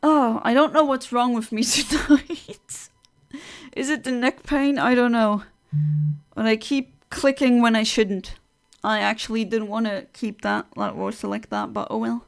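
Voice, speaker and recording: Gravelly-voiced woman streamer, chatty. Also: fairly high pitch, steady tone, measured pace. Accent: non-native English accent. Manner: chatty and self-deprecating, many fillers and restarts. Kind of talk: casual live-stream chat while playing and answering chat.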